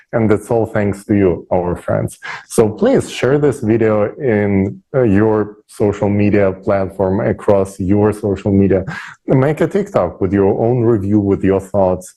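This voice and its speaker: A man speaking persuasively as an online panelist, halting now and then as he searches for words. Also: on the low side, mellow, husky, rough recording. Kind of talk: persuasive discussion talk.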